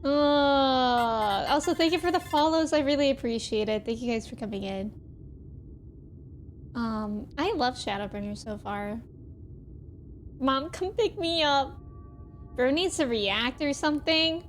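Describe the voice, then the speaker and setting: A woman's voice: high voice, unhurried, pauses often, soft background music. A woman livestreaming a video game, chatting casually and expressively as she reacts and reads chat messages aloud.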